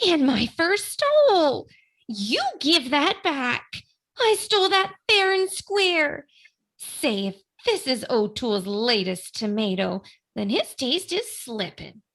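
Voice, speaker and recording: A woman's voice: high voice, big pitch swings, slow pace, fairly bright tone, exaggerated voice. A woman playing a haughty, jittery female burglar with grand, affected diction in a comic radio play. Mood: indignant, snooty. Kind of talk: comic old-time radio play acting.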